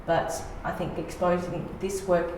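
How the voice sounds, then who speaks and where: Woman, slightly low voice, reflective interview talk, far from the mic, faint noise. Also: little pitch movement, a little slow. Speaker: playwright being interviewed. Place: reverberant indoor room. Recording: very echoey room, distant mic, faint noise.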